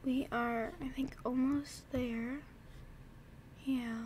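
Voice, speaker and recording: A woman's voice: high-pitched, fairly even intonation, extremely slow, darkish tone, slight background noise. A woman with a stuffed-up nose casually commenting while she plays a game, chatting to herself and her horse. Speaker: gaming vlogger.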